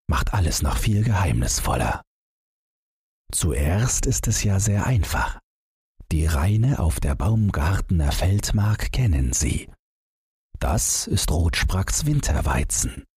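A man's voice: a bass voice, a little mellow, husky, dry recording. A man narrating a story as a scripted audiobook, close to the microphone.

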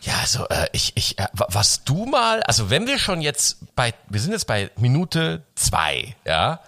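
A young man hosts a casual podcast chat and jokes around in slangy speech. His pitch swings widely and his tone is bright, though he has a cold. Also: measured pace, creaky. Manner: animated, jokey, slangy. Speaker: podcast host.

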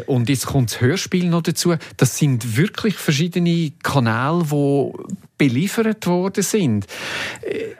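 A man's voice: medium pitch, no echo. A man with a Swiss German accent, interviewing in a conversational style as host.

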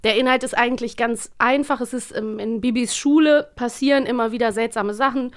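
High-voiced, chatty young woman. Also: fairly even intonation, a little fast. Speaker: podcast co-host. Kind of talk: casual podcast chat about children's audio dramas.

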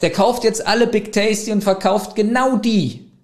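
A man's voice: high-pitched, steady tone, moderate pace. A man co-hosting a casual podcast, teasing his partner with playful questions and arguments. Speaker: podcast co-host. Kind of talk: casual chat.

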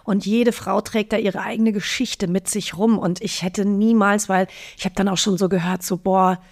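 A woman's voice: mid-range voice, fairly even intonation, normal speed. A middle-aged woman hosting a podcast, talking candidly and colloquially in an intimate conversation. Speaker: podcast host.

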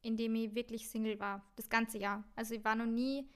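A woman's voice: on the high side, level tone, brisk, smooth. A woman, co-hosting a podcast in a casual chat, talking with many fillers and English words mixed in. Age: 20s. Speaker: podcast co-host. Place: Vienna.

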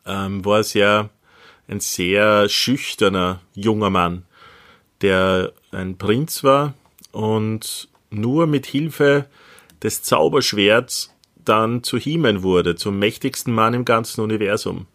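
A man's voice: fairly low pitch, takes it slowly, fairly bright tone. A middle-aged Austrian man chatting casually as a podcast co-host, reminiscing in an easy back-and-forth.

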